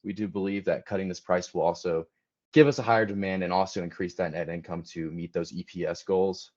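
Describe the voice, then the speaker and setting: A man with a very low voice gives a prepared business presentation. He is a little hesitant, often breaking phrases with ums. The sound is dull and lo-fi. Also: steady tone, fairly quick, darkish tone. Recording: dull lo-fi audio.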